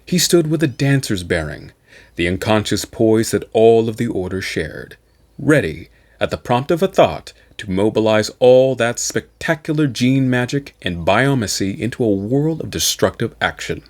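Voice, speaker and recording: A male narrator reads science fiction with theatrical deliberation. His voice sits a little low and rises and falls widely, giving the scripted prose a dramatic storytelling feel. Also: expressive, moderate pace. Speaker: amateur audiobook narrator.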